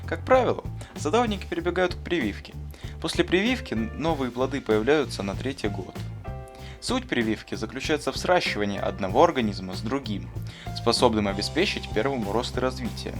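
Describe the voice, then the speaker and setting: A man plays a thoughtful, kindly schoolteacher for an animated film. He explains in an earnest, didactic manner with bookish phrasing. Music plays clearly behind the voice. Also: medium pitch, brisk, close to an everyday voice. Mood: earnest, instructive. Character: thoughtful, kindly male schoolteacher who talks like a gardener. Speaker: teacher answering interview questions. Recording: voice over clear background music.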